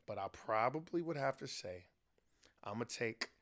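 A man's voice: mid-range voice, lively intonation, normal speed, fairly bright tone. A man from Chicago with an American accent, talking casually and conversationally on a podcast. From South Side of Chicago, United States.

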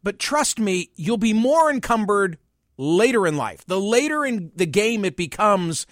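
A man in his sixties, hosting his own show, musing in a measured, wry monologue. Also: high voice, big pitch swings, no room sound, studio quality. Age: 60s. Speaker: podcast and radio host. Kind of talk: reflective personal monologue.

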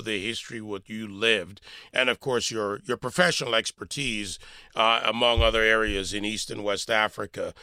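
A man's voice: fairly low pitch, pushed and bright, clean tone, dry recording. A man hosting a talk show, asking a guest long, winding questions full of filler words. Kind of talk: interviewing a guest.

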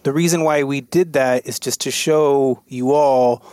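A man's voice: mid-range voice, fairly even intonation, unhurried, darkish tone, clear, resonant tone, no room sound. A man from the US West Coast hosting a podcast roundtable in a loose, conversational way. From United States West Coast. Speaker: podcast host.

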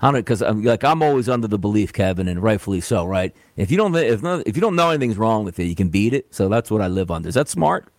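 A man's voice: on the low side, fast talker, hardly any pauses, no room sound, studio quality. A man in his forties co-hosting a sports radio show, joking and self-mocking in casual banter. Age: 40s. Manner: animated, jokey, self-mocking.

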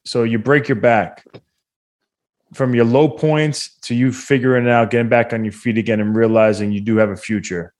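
A man's voice: medium pitch, fairly even intonation, normal speed, a little mellow. A man interviewing a guest, asking probing questions and giving short affirmations.

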